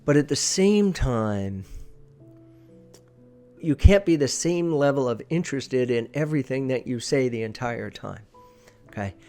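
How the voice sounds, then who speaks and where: A man's voice: medium pitch, lively intonation, a little slow, pauses often, dark tone, soft background music. Male presentation coach, emphatic and instructive. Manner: emphatic, repeats key phrases for stress. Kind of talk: informal instructional talk.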